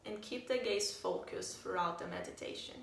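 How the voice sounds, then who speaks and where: A woman leading a guided meditation as a teacher, speaking in a calm, gentle way with unhurried phrasing and pauses. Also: medium pitch, vocal fry. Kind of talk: guided meditation, soft instruction. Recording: roomy, distant mic, faint noise.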